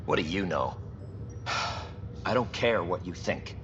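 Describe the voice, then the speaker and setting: A resentful, defiant male worker on a space station in a sci-fi game. His voice is fairly low, slightly dulled, over clear background noise. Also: animated, slow, dull audio. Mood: bitter, defiant. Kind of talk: video game voice acting.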